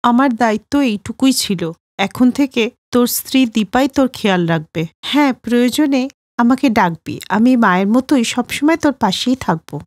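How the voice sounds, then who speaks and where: A woman acts out a possessive, motherly sister-in-law from a cartoon story. She talks in a chatty, insistent way with a high, lively voice. The sound is dry. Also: normal speed, no echo, lightly acted. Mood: solemn.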